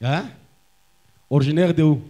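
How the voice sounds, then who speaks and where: A man with a Congolese accent preaching a fervent sermon as pastor, in short emphatic phrases with pauses for an interpreter, often repeating key words. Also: on the high side, dark tone. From Kasai, DR Congo.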